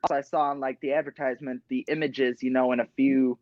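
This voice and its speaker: A man's voice: level tone, fast talker, a little mellow, no echo, dull audio. A sixteen-year-old boy, a guest tribute artist, chatting casually with lots of "you know" fillers from a small home studio over a video call. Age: teens. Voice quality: high talking voice. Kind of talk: casual interview chat.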